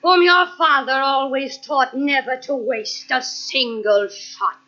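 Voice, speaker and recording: An actress playing a stern, resolute invalid stepmother with a deliberate, commanding manner in an old radio suspense drama. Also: high-pitched, animated, bright, forceful tone, slight background noise, heavily acted. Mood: grim, accusing. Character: stern bedridden stepmother, grimly resolute with a gun. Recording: muffled old radio tape.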